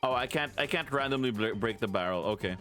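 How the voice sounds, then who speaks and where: A man's voice: medium pitch, animated, fast, over music. A man doing casual let's play commentary, wry and often muttering as he thinks things through.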